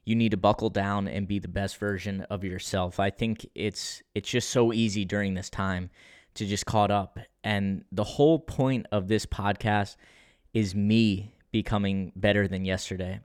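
A young man hosting his own podcast, talking on his own in a low-key, monotone way with casual restarts. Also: moderate pace, clear, resonant tone, no room sound, studio quality. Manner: conversational with frequent restarts. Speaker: podcast host. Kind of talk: solo podcast monologue.

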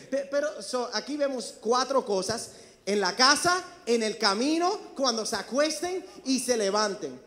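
A man's voice: very high-pitched, a bit bright. A man preaching a sermon, emphatic and calling out to his congregation in a church. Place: church sanctuary. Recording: long reverb of a large hall.

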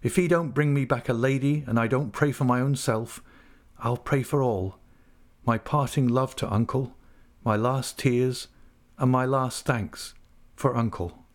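A man's voice: medium pitch, fairly even intonation, measured pace, natural voice. A man reading out a remorseful young woman's farewell letter in a storybook, with a sorrowful, pleading, lingering delivery.

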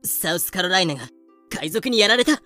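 Guy doing a snooty spiteful governor in an audio drama, sarcastic n feminine phrasing, bright highish voice. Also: animated, fairly quick, dry recording, soft background music. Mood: gloating. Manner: scornful, sarcastic. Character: haughty, spiteful colonial governor with feminine speech. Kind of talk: fan-made audio drama acting.

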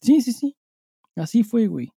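A man's voice: extremely high pitch, sing-song, moderate pace, gravelly, warm tone, husky, no room sound, clean studio sound. A man with a Mexican accent co-hosting a podcast in casual banter, wry, slangy and profane. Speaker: podcast co-host.

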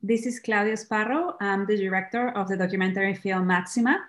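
A woman's voice: mid-range voice, a little fast, a bit bright. A young woman, a documentary director, speaking earnestly in a podcast interview and often restarting her words. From Peru. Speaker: documentary film director, guest.

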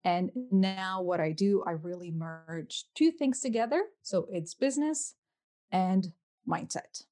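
A woman's voice: fairly low pitch, unhurried, dark tone, faint music behind. A woman in her thirties with a Lithuanian accent, a native Lithuanian speaker, answering interview questions conversationally as a podcast guest and coach. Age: 30s.

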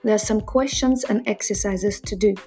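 A woman's voice: mid-range voice, moderate pace, over music. Eager woman podcast host. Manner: eager, enthusiastic. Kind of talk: casual interview chat.

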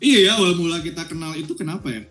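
A man's voice: a little high, racing, pushed and bright, soft background music. A young man from Sumatra with a Batak-tinged Indonesian accent, hosting a chatty, joking podcast conversation in slang. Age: young adult. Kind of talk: casual chat.

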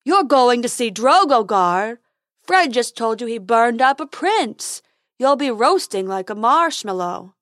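A woman doing Fred, a slow, loud, simple fellow. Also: a little high, big pitch swings, no echo, studio quality. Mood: alarmed. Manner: slow, loud, earnest, refers to himself by name. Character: simple-minded male creature who talks in third person.

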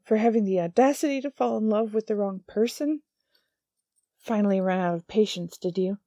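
A woman's voice: mid-range voice, moderate pace, warm tone, no room sound, clean studio sound, voice pushed up, lightly acted. A woman playing a furious prim male angel. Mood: indignant. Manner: clipped, indignant.

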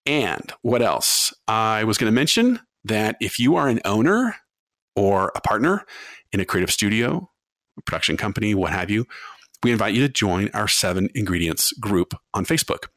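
A man's voice: lowish voice, lively intonation, moderate pace, a bit bright, dry recording. A man hosting a podcast, introducing his guest and announcements in an upbeat, conversational way. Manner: upbeat promotional, conversational with fillers. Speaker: podcast host.

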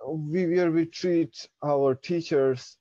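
A man's voice: fairly high pitch, steady tone, takes it slowly, warm tone, no room sound, dull audio. Hesitant young man, native Dari speaker. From Pakistan. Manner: hesitant, many fillers and restarts. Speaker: interview guest. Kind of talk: reflective personal storytelling.

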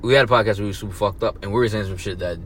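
A man's voice: lowish voice, very fast, a crowd in the background. A young man talking as a podcast guest in laid-back slang, with swearing and dry jokes. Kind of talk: casual podcast chat.

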